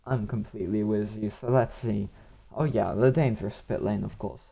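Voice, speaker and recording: A young man commentating a live online racing league, hoarse with his voice nearly gone, rambling and talking to himself while he waits for action. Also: lowish voice, moderate pace, warm tone, lo-fi sound.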